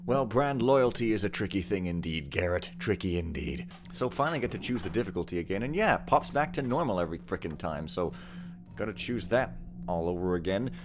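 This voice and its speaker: A man's voice: deep voice, animated, fairly quick, music in the background. A man hosting a let's play, chatting casually over gameplay in a rambling, joking, laid-back way.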